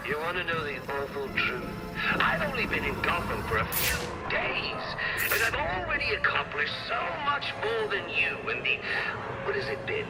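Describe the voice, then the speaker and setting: Over loud music, a manic clown villain from a video game, pitched very high and swooping wildly. He is theatrical, sadistic and mocking, drawing out his taunts and sliding toward cackles. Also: exaggerated voice, low-quality recording. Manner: taunting, drawn-out delivery with sudden emphasis.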